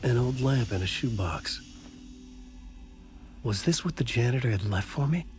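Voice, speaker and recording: An actor playing a troubled, brooding writer in a video game, with a terse, grave delivery. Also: male voice, mid-range voice, expressive, moderate pace, darkish tone, lightly acted. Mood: brooding, wondering. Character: troubled, trapped male writer, brooding and determined. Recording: clear ambient noise behind voice. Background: game ambience.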